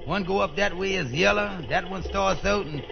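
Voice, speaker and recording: Earnest folksy cab driver, high-pitched, heavily creaky voice, polite and ungrammatical, old radio comedy. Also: male voice, normal speed. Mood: awestruck. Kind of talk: 1930s radio comedy acting. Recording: dull, lo-fi 1930s radio recording.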